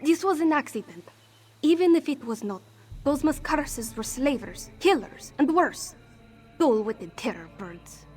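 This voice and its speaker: A woman acts out a scrappy female cat-folk thief from a fantasy game, her voice on the high side. She is anxious and defensive, with stiff, formal phrasing hardened by life on the street. Music plays behind her. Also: expressive, measured pace, darkish tone. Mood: defensive, pleading. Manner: refers to herself in third person, formal contraction-free phrasing. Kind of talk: fantasy game character dialogue.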